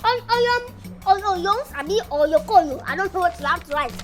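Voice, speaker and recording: A woman's voice: very high voice, animated, normal speed, faint music behind. A woman playing a cheeky young house help who talks back, with a Nigerian accent. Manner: sassy, talks back, stumbles over words.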